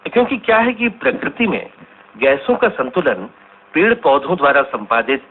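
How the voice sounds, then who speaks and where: An actor playing a courteous, earnest senior scientist, explaining politely and deliberately in a scripted radio drama interview. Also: male voice, a little high, big pitch swings, husky, heavily acted. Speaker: studio interview guest. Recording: tinny, band-limited, noisy radio recording.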